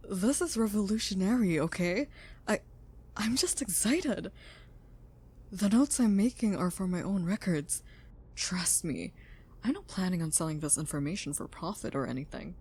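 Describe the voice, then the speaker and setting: A woman's voice: medium pitch, lively intonation, normal speed, darkish tone, slightly rough. A woman playing an earnest, curious scientist in a scripted audio drama. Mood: excited, curious. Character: earnest, curious female scientist who built a robot. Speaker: voice actor in an audio roleplay.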